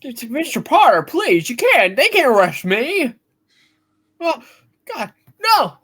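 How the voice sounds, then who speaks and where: A woman's voice: sits high, sing-song, slow pace, a bit bright, husky, pitched above the performer's own voice, heavily acted. A woman playing a desperate man praying at the end of his rope in an amateur radio play reading. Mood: panicked pleading.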